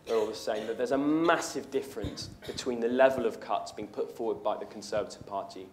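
A man's voice: lowish voice, brisk, background noise. A young man, a political candidate, answering audience questions in a university lecture hall in an earnest way, with many ums and restarts. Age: young adult. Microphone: room mic at a lectern, far camera.

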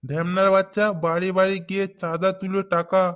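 A man voicing a middle-aged, foul-mouthed neighbourhood uncle in a comedy cartoon, blunt and quick to curse. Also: high voice, little pitch movement, fairly bright tone, faint music behind. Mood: angry. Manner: blunt, scolding, full of curses.

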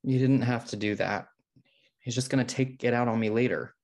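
A man's voice: mid-range voice, fairly even intonation, brisk, a little mellow, close to an everyday voice. A man playing a smart, fussy, neat-freak twin brother with a dry, prim manner in a comedy table read. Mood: meek. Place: home room, video call.

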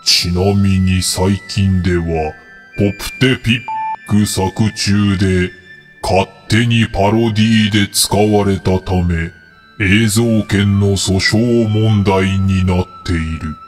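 A man's voice: lowish voice, steady tone, faint music behind. A man playing a solemn, world-weary wish-granting dragon in a comedic voice drama, with pompous, formal speech. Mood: solemn, stately. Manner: slow, pompous, formal pronouncements.

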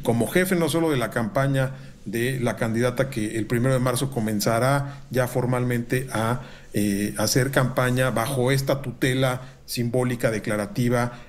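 Formal Mexican man on a video call. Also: mid-range voice. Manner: measured, formal, with filler pauses. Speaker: university researcher guest. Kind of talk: analytical commentary. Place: home room on video call. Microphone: video call webcam.

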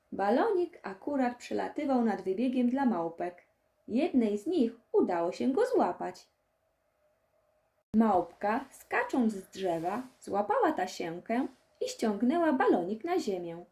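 Woman narrating a kids audiobook, lively up and down pitch, soft mellow tone. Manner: expressive storytelling for young children. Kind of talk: scripted children's story narration.

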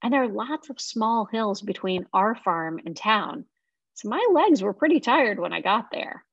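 A woman's voice: medium pitch, measured pace, slightly rough, no room sound. A young adult woman reading a children's novel aloud, expressively, into a webcam at home. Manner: expressive reading in a young girl's first-person voice. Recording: dry, slightly muffled lo-fi sound.

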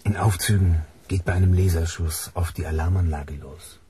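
A man's voice: deep voice, steady tone, normal speed, warm tone, a little hiss or hum, natural voice. A man playing a cynical, cold-blooded bounty hunter in a German radio drama, speaking in a dry, blunt, controlled way. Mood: tense.

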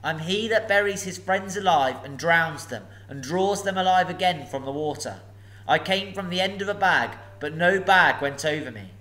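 A man's voice: high voice, moderate pace, a little hiss or hum, voice pushed up, low-quality recording. A man doing a polite riddling hobbit. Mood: flattering. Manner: flattering, riddling, courteous. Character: small, polite, clever male hobbit thief.

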